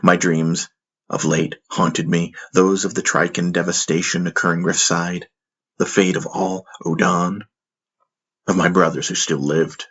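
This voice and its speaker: A male narrator tells a fantasy story in the first person. His voice is very deep and rough-edged with huskiness. The delivery is scripted, solemn and dramatic. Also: level tone, measured pace, fairly bright tone. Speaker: audiobook narrator.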